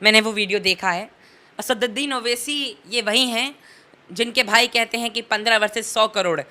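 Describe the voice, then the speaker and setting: Bright-voiced teenage boy, emphatic political speaker. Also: sits high, moderate pace. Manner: emphatic, rhetorical, oratorical repetition. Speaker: interviewee, young political commentator. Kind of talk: impassioned political commentary in an interview.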